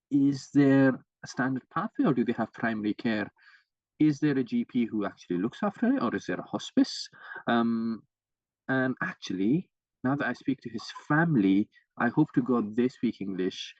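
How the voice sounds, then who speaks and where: A man, giving a lively teaching talk as a doctor at grand rounds and putting rhetorical questions to his listeners. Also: mid-range voice, dry recording. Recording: dull, distant, dry online audio.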